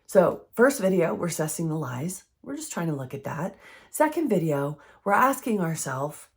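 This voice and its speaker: A woman with a froggy, hoarse voice from recent illness, giving an earnest, conversational faith-based health teaching. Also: lowish voice, big pitch swings, moderate pace. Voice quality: froggy, strained voice.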